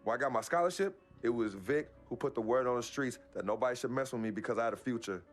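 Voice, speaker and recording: An actor playing a young basketball star in a game drama, earnest, defensive and loyal, with casual street phrasing. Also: male voice, mid-range voice, quick pace, natural voice. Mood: earnest, pleading. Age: young adult. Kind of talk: naturalistic dramatic game cutscene acting. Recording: music behind the voice.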